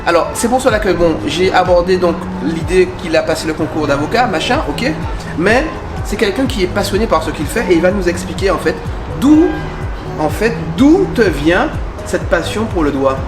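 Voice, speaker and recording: A man's voice: high-pitched, animated, normal speed. A man hosting a conversational interview for his video channel. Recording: roomy echo, music behind. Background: music.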